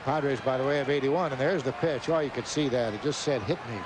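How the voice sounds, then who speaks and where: A man's voice: mid-range voice, fairly quick. An American man giving baseball colour commentary as a broadcast co-announcer. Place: ballpark broadcast booth. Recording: very noisy ballpark broadcast.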